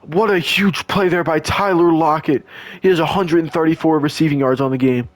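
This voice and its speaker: A young man, hoarse and losing his voice, doing casual, slangy play-by-play of a video game football match. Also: on the high side, brisk, no echo. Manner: excitable, slangy, stumbles and restarts. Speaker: play-by-play commentator.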